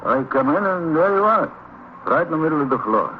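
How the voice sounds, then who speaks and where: An actor playing a nervous, talkative fry cook questioned as a witness in an old-time radio drama, halting, repetitive and agitated. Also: male voice, a little high, big pitch swings. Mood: agitated, eager. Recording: tinny, noisy old radio recording.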